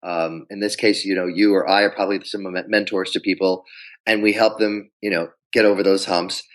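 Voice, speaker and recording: A man being interviewed as a pitch coach, telling anecdotes and acting out quoted dialogue. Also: fairly even intonation, a little fast, rough recording. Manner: storytelling, acts out quoted dialogue.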